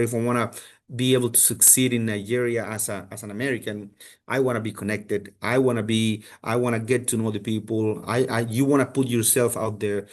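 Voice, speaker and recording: Halting man on a video call. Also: mid-range voice. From Colombia. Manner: halting, many restarts and fillers. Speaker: university admissions representative. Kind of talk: casual answers in a virtual info session.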